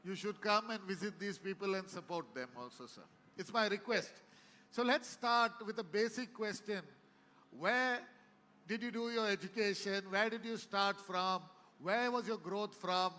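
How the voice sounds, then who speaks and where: A man's voice: high-pitched, normal speed, bright, forceful tone, big-room sound. A man hosting a live stage interview, speaking politely and repeating the guest's words back. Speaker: host. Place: event hall with audience.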